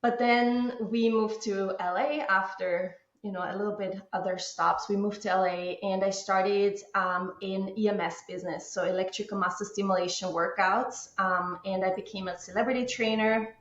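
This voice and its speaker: Austrian woman, calm, roomy dull sound. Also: medium pitch, moderate pace, few pauses. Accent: Austrian German. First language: German. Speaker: guest. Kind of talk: podcast interview. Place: husband's office at home.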